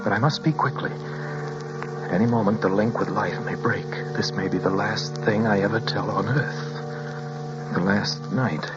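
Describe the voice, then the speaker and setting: A man's voice: lowish voice, measured pace, close to an everyday voice. An actor playing a haunted man in his thirties in a 1940s radio drama. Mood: urgent, desperate. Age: 30s. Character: haunted man of thirty-six, desperately clinging to his sanity. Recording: dull vintage radio broadcast with music.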